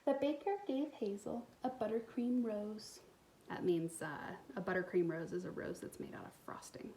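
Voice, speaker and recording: A woman reading a picture book aloud to young children in an expressive way, pausing to explain things to them. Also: mid-range voice, low-quality recording. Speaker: storytime reader for a school community.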